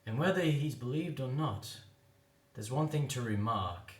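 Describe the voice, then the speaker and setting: A man giving a theatrical, expressive dramatic recitation of a comic ballad as a poetry reader. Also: medium pitch, unhurried, reverberant.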